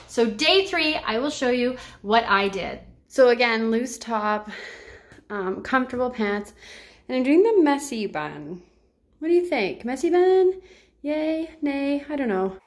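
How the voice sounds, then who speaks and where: A woman in her thirties chats to the camera from her bedroom at home, upbeat and encouraging. Her voice sits a little high. She is picked up by the camera mic at close range. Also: big pitch swings, a little slow. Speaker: home cleaning vlogger. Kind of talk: casual chat to camera and voice-over.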